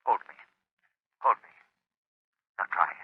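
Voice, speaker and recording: A man playing a commanding, unseen mystery hero through a filter in a 1930s radio drama. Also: dry recording. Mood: urgent, commanding. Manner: urgent, commanding, deliberate. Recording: muffled, telephone-like old radio sound.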